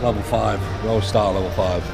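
A man's voice: steady tone, quick pace, vocal fry. A middle-aged man with a Northern English accent chatting casually and bluntly, with some swearing, as he vlogs on a handheld camera. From northern England. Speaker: gym vlogger. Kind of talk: casual rambling vlog chat. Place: large indoor gym with metal roof. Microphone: handheld action camera at arm's length. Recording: loud electronic gym music behind, action camera. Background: loud drum and bass music.

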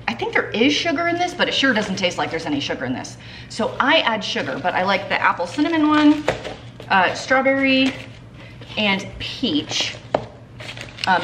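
A woman's voice: mid-range voice, a bit bright, slight background noise. Chatty woman vlogging in her kitchen. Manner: chatty, upbeat, walks through items and prices. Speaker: family vlogger. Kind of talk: casual home vlog. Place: home kitchen. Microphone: handheld camera, held close.

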